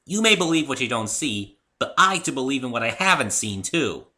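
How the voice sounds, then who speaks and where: A man voicing a bubbly, excitable young female cartoon pony who exclaims cheerfully in a dramatic story reading. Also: medium pitch, fairly quick, voice pushed up. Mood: insistent. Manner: exclaiming, sing-song, cheerful.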